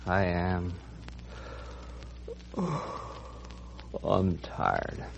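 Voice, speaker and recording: A man's voice: sits low, huge pitch swings, drawn-out words, gravelly, full character voice. A man playing a tired night hotel clerk in an old-time radio drama. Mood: drowsy, flat. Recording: dull, noisy old radio recording.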